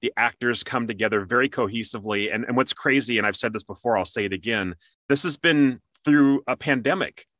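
A man's voice: medium pitch, a little fast, fairly bright tone, dry recording, studio quality. A man hosting a casual interview in an enthusiastic, conversational way. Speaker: interview host.

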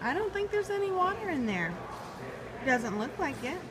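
A woman filming her toddler at an indoor mall play area and talking to the child gently in short, simple phrases. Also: extremely high pitch, big pitch swings, moderate pace, over loud music. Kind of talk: child-directed talk. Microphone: phone camera held by the off-screen speaker. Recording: loud ambient mall noise.

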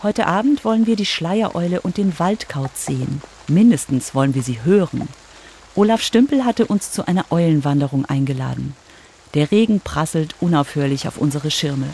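A woman's voice: low voice, lively intonation, moderate pace, a little mellow, a little hiss or hum. A woman reporter giving scripted narration for a children's radio story.

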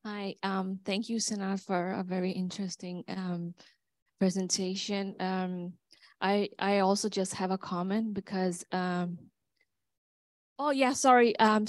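Halting young woman, Danish native speaker. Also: mid-range voice, a little mellow, no echo. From Denmark. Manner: halting and earnest, pausing often. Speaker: doctoral student commenting from the audience. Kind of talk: spontaneous conference comment.

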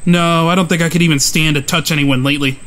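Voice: medium pitch, steady tone, fast, fairly bright tone, lightly acted. An actor playing an unhinged, self-loathing young male killer and recluse in a dramatized comic, with a theatrical, wordy delivery that swings between brooding and shrieking. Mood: awkward, polite.

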